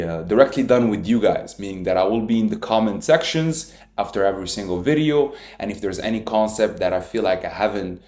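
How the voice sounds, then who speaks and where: Earnest young man, low voice, echoey room. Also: darkish tone. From Italy. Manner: earnest, repetitive, self-taught English with small slips. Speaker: trading educator. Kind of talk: casual talking-head lecture.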